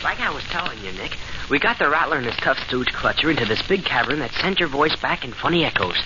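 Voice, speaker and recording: A man's voice: on the high side, big pitch swings, quick pace. An actor in an old radio serial playing a plucky boy adventurer who tells his exploits earnestly and informally. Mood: earnest, eager. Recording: dull, noisy old radio recording.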